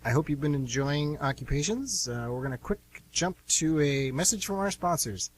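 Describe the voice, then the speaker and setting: A man's voice: a little high, lively intonation, moderate pace, slight background noise, rough recording. A man hosting a radio theatre show with casual announcements.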